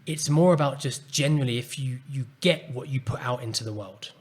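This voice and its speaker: A man hosting a solo podcast, speaking calmly and earnestly as he reflects on a personal story. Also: mid-range voice, brisk, slightly rough. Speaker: podcast host and coach.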